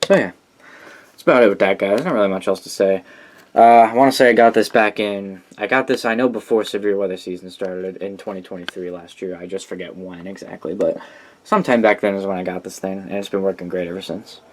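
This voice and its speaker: A man with a fairly low voice presents his collection as a keen hobbyist. He talks informally and rambles in an unscripted, enthusiastic way. The amateur recording carries clear background noise. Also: steady tone, fast. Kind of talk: casual unscripted show-and-tell.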